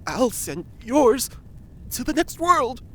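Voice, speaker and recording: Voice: mid-range voice, sing-song, takes it slowly, noisy background. An actor playing an irate lab colleague who invokes spirits, scolding and menacing, in a fiction podcast. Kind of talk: audio fiction podcast acting.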